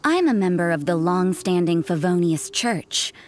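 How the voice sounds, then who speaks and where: A woman's voice: lowish voice, expressive, normal speed, slight background noise, close to an everyday voice. A woman playing a cool, sardonic church sister in a video game, with a dry, cutting and suspicious way of talking.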